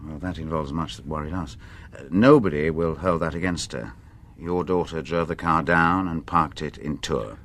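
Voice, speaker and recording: Radio drama inspector: very deep register, shrewd and tactful, unhurried questioning, courteous hesitations. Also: male voice, a bit bright, full character voice. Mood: musing, probing. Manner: measured, probing, politely hesitant. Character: shrewd, patient, discreet male police inspector questioning a suspect. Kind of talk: radio drama acting, interrogation dialogue.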